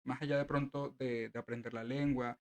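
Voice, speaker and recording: A man's voice: mid-range voice, level tone, normal speed. A man hosting a casual talk show, chatty and agreeable, often affirming his guest with short replies. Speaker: podcast host. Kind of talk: casual chat.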